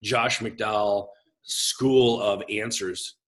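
Middle-aged man, a pastor, chatty interview guest, big expressive gregarious voice. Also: on the low side, extremely slow. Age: 40s. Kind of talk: casual conversational interview.

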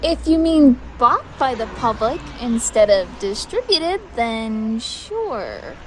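A woman's voice: a little high, very animated, slow, fairly bright tone, slight background noise, full character voice, rough recording. An actress playing a flippant, defiant young woman in a conversational, teasing way in an audio drama. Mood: defiant, dismissive.

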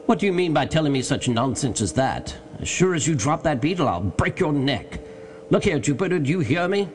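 Imperious, excitable gentleman acted by a man, raised pitch. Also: normal speed, a little hiss or hum. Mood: exasperated. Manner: imperious, urgent, exclamatory. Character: excitable, domineering gentleman treasure hunter shouting orders. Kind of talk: dramatized audiobook character.

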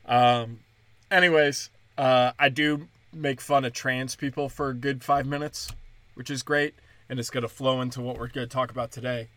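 A young man, a stand-up comedian, rambling crudely and mockingly through a solo podcast, breaking into high mimicking voices. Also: steady tone, moderate pace, a bit bright, no room sound.

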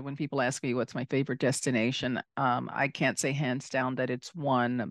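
American interview host, middle pitch, dead-dry recording, stop-start delivery. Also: gravelly, no echo. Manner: halting, with restarts and laughter. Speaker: podcast host. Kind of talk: casual interview chat.

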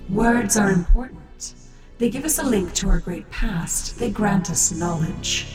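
A woman voicing a calm, confident tech-priestess who leads a cult. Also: medium pitch, unhurried, echoey room, over music, close to an everyday voice. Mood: imperious. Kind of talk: fan audio drama acting.

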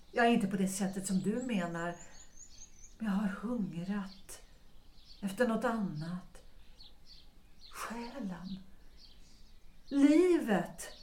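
Fervent, anguished wife in an echoing room , acted. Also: female voice, mid-range voice, a little mellow, natural voice. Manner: fervent, slow dramatic pauses. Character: Gertrud, anguished, yearning unhappy wife in love. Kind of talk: period stage-play audio drama acting. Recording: roomy echo.

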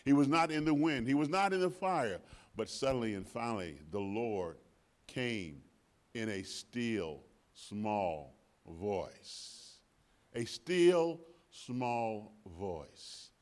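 A man in his sixties with a deep voice, preaching as a guest pastor in church with emphatic repetition that swings from soft to loud. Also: animated, slow pace. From United States. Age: 60s. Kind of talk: sermon. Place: church sanctuary.

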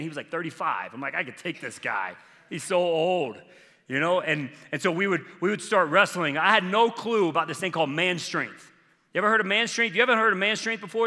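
A man's voice: on the high side, pushed and bright, long reverb tail. A man preaching a sermon in a joking, conversational way. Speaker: pastor.

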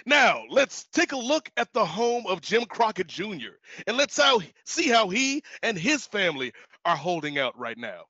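Man playing a high-pitched, preachy Santa Claus. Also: sing-song, pushed and bright, breathy edge, no echo, exaggerated voice. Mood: lecturing. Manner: preachy, admonishing, halting. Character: moralizing Santa Claus showing a greedy boss his wrongs. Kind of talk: comedic audio play. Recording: dull lo-fi audio.

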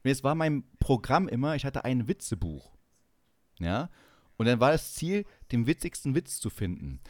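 A man's voice: medium pitch, sing-song, normal speed, dry recording. A man in his forties from East Germany chatting casually as a podcast co-host, telling stories with teasing banter. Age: 40s. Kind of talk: casual chat, storytelling.

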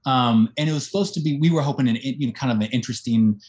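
A man's voice: mid-range voice, quick pace, a little mellow. A man co-hosting a podcast in casual chat, telling a wry, joking story that trails off into "um"s. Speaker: podcast co-host.

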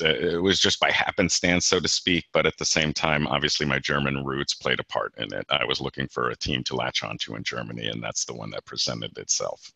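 A man's voice: a bass voice, vocal fry, sharp tone, dry recording. A man in his forties, a guest in an interview, talking casually with many fillers and restarts. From Peru. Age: 40s.

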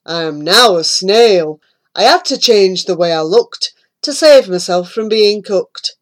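A woman voicing a giant, unflappable male magic snail in a storybook reading, with loud, hearty greetings. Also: medium pitch, animated, measured pace, pitched below the performer's own voice, close to an everyday voice.

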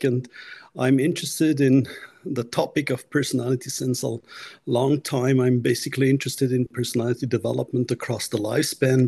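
A man's voice: medium pitch, a little mellow, slightly rough. A man, a researcher guest in a podcast interview, speaking thoughtfully with frequent restarts.

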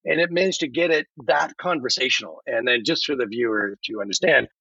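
A man's voice: medium pitch, brisk, vocal fry, pushed and bright, slightly rough. A man chatting animatedly as a podcast guest, emphatic and given to acting out bits of dialogue. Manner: emphatic, acts out quoted dialogue. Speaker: podcast guest who voiced a chatbot script. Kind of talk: animated conversational discussion. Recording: roomy, slightly dull lo-fi sound.

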